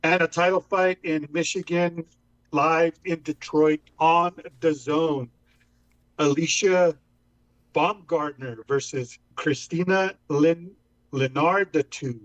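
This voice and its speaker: A man's voice: fairly high pitch, a bit bright, dull audio. A man of Mexican background co-hosting a casual fight podcast, reading out event listings and laughing easily. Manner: laughs often, reads fight card quickly. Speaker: podcast co-host.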